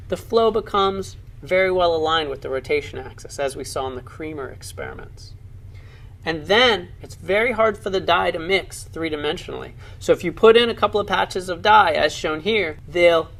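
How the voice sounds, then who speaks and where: A man with a slightly high voice and a noticeably creaky, gravelly edge narrates an educational science film. He explains lab demonstrations like a keen teacher, leaning on key words with clear enthusiasm. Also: fairly bright tone.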